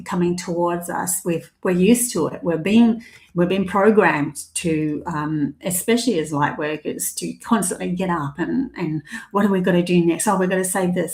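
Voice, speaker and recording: A woman's voice: lowish voice, roomy sound. A woman from New Zealand with a mixed New Zealand and Australian accent, giving a live tarot reading in a rambling, hesitant way with many ums. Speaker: tarot reader.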